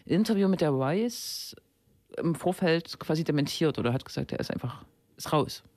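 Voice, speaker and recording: Fairly high-voiced male radio host. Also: expressive, normal speed, darkish tone, dry recording. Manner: informal, with frequent fillers. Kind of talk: casual radio chat and interview.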